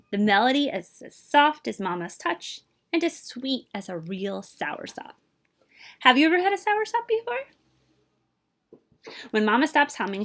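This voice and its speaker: A woman reading a children's picture book aloud in an animated way, speaking directly to young listeners. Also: a little high. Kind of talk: expressive children's read-aloud with asides to listeners.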